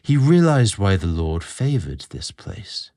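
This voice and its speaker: A man narrating a scripted romantic story in a dramatic, expressive storytelling manner. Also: on the low side, measured pace.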